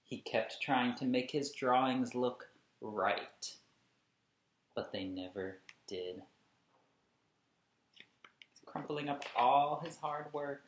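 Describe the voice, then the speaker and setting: Male storybook reader, thirties, slow and emphatic, explaining as he goes, roomy home recording. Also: mid-range voice. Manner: deliberate, emphatic, explaining asides. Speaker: children's read-along host. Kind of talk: expressive storybook reading for young children. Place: small indoor room at home. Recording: echoey room, camera at a distance.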